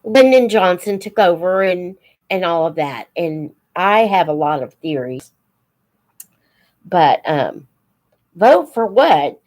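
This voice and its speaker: An American woman in her seventies reminiscing informally as host of a live stream, halting and reflective, pausing mid-sentence and voicing remembered dialogue. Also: fairly low pitch, lively intonation, darkish tone. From United States. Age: 70s.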